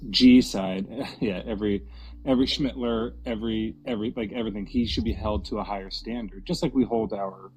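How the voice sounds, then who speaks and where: Low male debater, loose and joking, filler-heavy. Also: steady tone, a little fast, a little mellow, poor audio. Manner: rambling, joking, many "like"s. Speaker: debate guest. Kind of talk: casual online debate.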